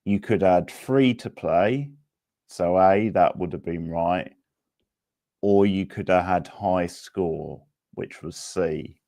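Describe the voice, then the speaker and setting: A man hosting a casual video game quiz, reading out options and answers in a deliberate way. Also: sits low, mellow. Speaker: quiz host.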